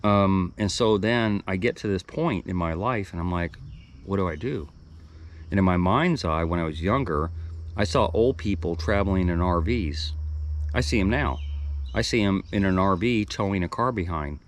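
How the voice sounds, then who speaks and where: A man's voice: low voice, moderate pace, slight background noise. A man in his sixties giving a rambling, reflective monologue for his own video channel, with frequent restarts and asides. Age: 60s. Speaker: video blogger.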